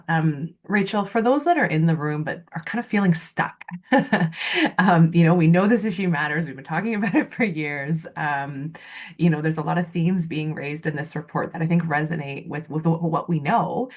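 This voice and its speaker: A woman's voice: low voice, normal speed. A woman moderating an online panel discussion, thanking speakers and posing questions in a casual way full of fillers. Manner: casual, with many fillers and hedges.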